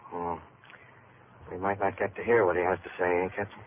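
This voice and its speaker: An actor playing a firm, principled police lieutenant, blunt and plain-spoken, in an old-time radio drama. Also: male voice, deep voice, level tone, a little fast, close to an everyday voice. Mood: thoughtful. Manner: blunt, direct. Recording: tinny, noisy vintage radio recording.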